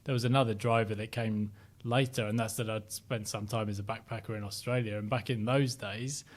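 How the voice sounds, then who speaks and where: A middle-aged man from Sheffield with a softened northern English accent, talking as an interview guest, reflective and full of ums and restarts. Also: fairly low pitch, steady tone, fairly quick. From Sheffield, South Yorkshire, England. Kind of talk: casual interview chat.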